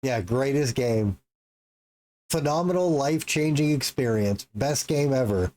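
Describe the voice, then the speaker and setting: A male game streamer who talks at a slow, unhurried pace. He reacts with crude jokes and curses, and he counts out numbers aloud as he goes. His voice sits in a middle pitch. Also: expressive, darkish tone. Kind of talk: casual live-stream commentary.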